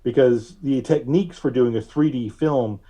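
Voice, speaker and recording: A man being interviewed as a filmmaker, telling stories in an explanatory, conversational way with drawn-out pauses. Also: mid-range voice, dark tone. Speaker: guest, filmmaker.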